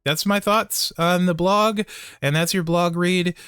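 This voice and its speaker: A man's voice: high-pitched, steady tone, a little slow, a bit bright, smooth, no echo, studio quality. A man giving casual, off-the-cuff gaming commentary as a content creator, in a blunt, conversational way. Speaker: video game content creator and host.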